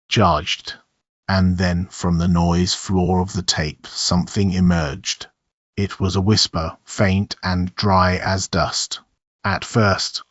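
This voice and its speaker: Male horror story narrator, very low voice, muffled recording with roomy echo. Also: fairly bright tone.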